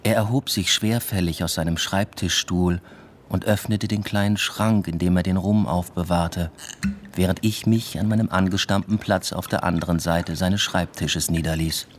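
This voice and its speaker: A man's voice: low-pitched, fairly even intonation, moderate pace, slight background noise, lightly acted. An actor playing an introspective academic who narrates his own story in a subdued, reflective way in a radio drama. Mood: measured, wry. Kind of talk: literary first-person radio drama narration.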